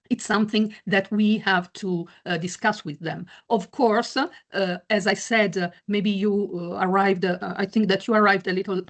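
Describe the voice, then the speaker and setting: A woman chairs an online meeting and answers questions over a video call. She speaks slowly and hesitantly, filling the gaps with many pauses and restarts. Her voice sits at an ordinary pitch. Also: steady tone. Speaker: chair of a museum committee.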